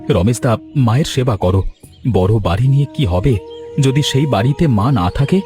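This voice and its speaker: A man's voice: mid-range voice, moderate pace, close to an everyday voice. A man voicing a righteous, caring son-in-law in a cartoon drama, with an emphatic, moralising delivery. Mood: admonishing. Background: prominent music.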